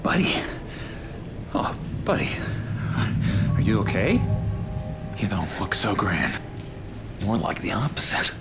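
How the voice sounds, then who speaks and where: A chummy unhinged inmate, gravelly and theatrical, big pitch swings, game vibe. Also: male voice, sing-song, slow, long pauses, exaggerated voice. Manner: theatrical, chummy, sing-song. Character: cheery unhinged male asylum inmate, overly friendly. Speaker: game character. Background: game score music.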